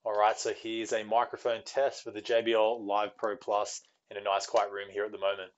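A man's voice: lowish voice, monotone, brisk, muffled recording. A man in his thirties presenting an audio gear test to camera, recorded indoors through wireless earbud microphones. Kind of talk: scripted review talk to camera. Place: small quiet room, indoors. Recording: band-limited earbud microphone samples.

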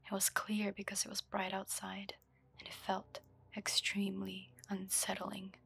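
A young woman's low voice, kept almost wholly to a soft, breathy whisper as she reads a story for ASMR listeners. The tone is very husky with some gravel at the bottom. Her pitch barely moves, and the sound is close and dry. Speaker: ASMR host. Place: dark room lit by a candle.

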